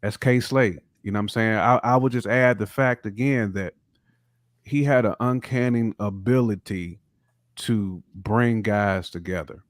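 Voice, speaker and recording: A man's voice: lowish voice, measured pace, dry recording, studio quality. A man chatting casually as a podcast co-host, slangy and emphatic, repeating phrases to drive them home. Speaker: hip-hop podcast co-host. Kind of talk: casual chat.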